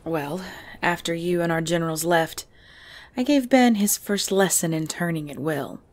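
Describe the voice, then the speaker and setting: A woman acting a tender, regal female vampire lover in an intimate ASMR roleplay, speaking softly and hesitantly with breathy whispers. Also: fairly low pitch, moderate pace, lightly acted. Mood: calm, fond. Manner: soft, hesitant, often dropping to breathy whispers. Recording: music bed under the voice.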